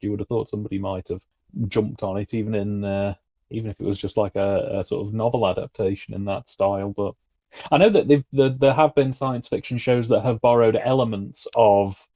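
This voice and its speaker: A man in a casual podcast discussion, speaking in a halting, reflective way. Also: fairly low pitch, fairly even intonation, warm tone, dry recording. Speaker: podcast guest. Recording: slightly dull, lo-fi audio.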